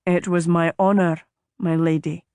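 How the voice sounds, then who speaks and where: A woman voicing a courteous, gallant gentleman suitor in an audiobook, measured and formal in manner. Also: lowish voice, flat delivery, clear, resonant tone, dry recording, clean studio sound, pitched below the performer's own voice, close to an everyday voice. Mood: serious. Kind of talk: audiobook character dialogue.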